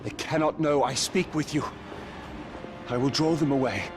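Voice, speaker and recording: An actor playing an earnest, solemn guide to a warrior in a video game, speaking in a measured, hushed way over music. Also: male voice, a little high, natural voice. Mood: urgent, earnest. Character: earnest male guide secretly aiding a warrior. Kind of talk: video game cutscene acting. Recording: loud music behind the voice.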